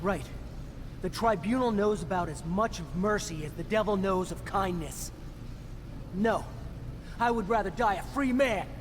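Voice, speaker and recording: A man's voice: high voice, a little slow, pauses often, big-room sound, noisy background. A man playing a bitter, war-weary army deserter in a video game, intense and defiant. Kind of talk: video game dramatic acting.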